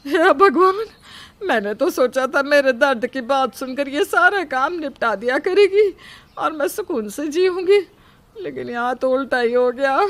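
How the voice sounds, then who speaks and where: A woman's voice: medium pitch, animated, a little slow, fairly bright tone, a little hiss or hum. A woman playing a complaining, scheming elderly Indian mother-in-law in a cartoon story, with dramatic delivery. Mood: dismayed. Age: older. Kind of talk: acted cartoon story dialogue.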